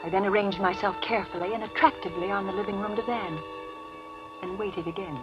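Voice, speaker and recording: An actress playing a genteel, coolly calculating widow who feigns grief, with poised, precise diction in an old radio drama. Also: female voice, medium pitch, fairly even intonation, fast, natural voice. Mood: cool, composed. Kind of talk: 1940s-50s radio drama acting, first-person narration. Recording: dull vintage radio recording with music.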